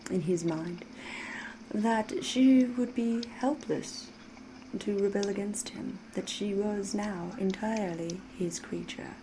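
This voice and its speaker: A woman acting out a female vampire, mysterious, flirtatious, veiled menace, medium pitch, hushed breathy ASMR storytelling, formal theatrical phrasing, clear background ambience noise. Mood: hushed, ominous. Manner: measured, theatrical, knowing, old-fashioned formal diction. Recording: steady ambient noise behind the voice.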